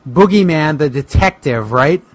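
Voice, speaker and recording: High-ish, animated male co-host. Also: a little slow, no room sound. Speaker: podcast co-host. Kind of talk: casual joking chat.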